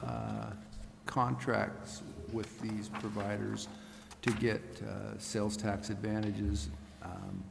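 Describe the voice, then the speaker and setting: Male explainer, hesitant and slow, deep creak, strong echo and background noise. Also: little pitch movement. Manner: halting, many long pauses. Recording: distant, noisy echoey hall.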